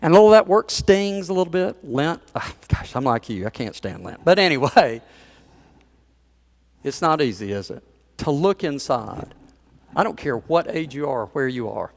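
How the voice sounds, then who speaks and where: A man's voice: on the high side, sing-song, normal speed, vocal fry. A male pastor delivering a sermon in a conversational storytelling manner, with chuckles and playful asides to the congregation.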